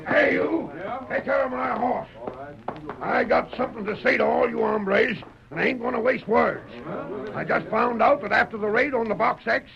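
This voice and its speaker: A man's voice: extremely high pitch, normal speed, vocal fry, crowd noise, full character voice. A man playing a bullying, enraged outlaw gang boss in a radio western, barking menacingly in an American Western drawl. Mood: furious. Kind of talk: 1930s-40s radio western acting. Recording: dull old radio broadcast.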